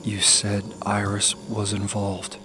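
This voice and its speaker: A man's voice: lowish voice, completely flat delivery, slow, noisy background. A man playing a distrustful, brooding human in a sci-fi audio drama, speaking in a serious, restrained way. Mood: suspicious, demanding.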